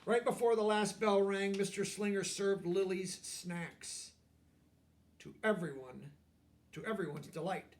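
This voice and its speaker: Creaky-voiced man reading a children's story. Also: high voice, a little slow. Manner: expressive, simple explanations for young children. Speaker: storybook reader. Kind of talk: children's read-aloud with explanatory asides.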